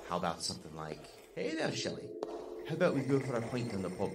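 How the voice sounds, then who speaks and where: Actor as an exasperated, teasing man, lively pitch. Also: fast talker, creaky, background noise. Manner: needling, sarcastic. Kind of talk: audio drama acting.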